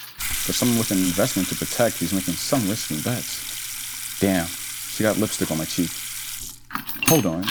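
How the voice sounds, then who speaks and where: An actress playing a wry amateur sleuth who mutters clues to herself in a radio play, with a husky voice. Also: female voice, very deep voice, background noise, exaggerated voice. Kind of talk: radio play acting, thinking aloud.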